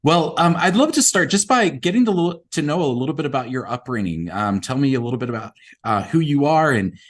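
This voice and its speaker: A man's voice: mid-range voice, sing-song, a little fast. A man hosting a podcast, reading an introduction and then asking friendly questions of his guest. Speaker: podcast host. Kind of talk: interviewing, scripted intro.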